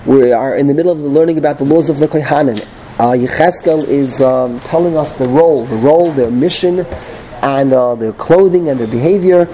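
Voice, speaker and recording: A man's voice: medium pitch, a little fast, mellow, slight background noise, rough recording. Man with a cold teaching a class. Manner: digressive, restarts phrases, mixes in Hebrew terms. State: has a cold. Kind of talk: casual Torah class.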